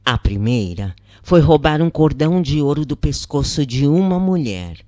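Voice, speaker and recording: A narrator with a Brazilian accent reading a first-person spiritual account from a script in a measured, deliberate way with short pauses between phrases. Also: medium pitch, very animated, a little mellow, no room sound, clean studio sound.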